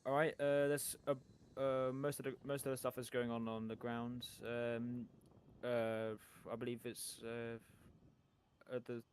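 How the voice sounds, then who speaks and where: A man working as an air traffic controller in a flight simulation game, speaking radio phraseology in a hesitant, halting way. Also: medium pitch, little pitch movement. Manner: hesitant, halting delivery with many pauses.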